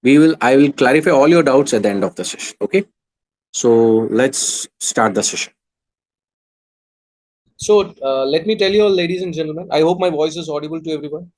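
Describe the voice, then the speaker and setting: A man's voice: on the high side, expressive, fairly quick, a little mellow, rough recording. A man in his thirties with an Indian English accent, coaching students in an online class, earnest and hesitant with many restarts. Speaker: exam coach.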